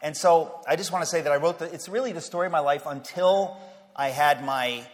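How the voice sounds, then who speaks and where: A man's voice: a little high, brisk. Joking, restarting man in a reverberant hall. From Queens, New York. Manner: conversational and humorous, with stammered restarts and rising emphasis. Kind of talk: casual personal talk.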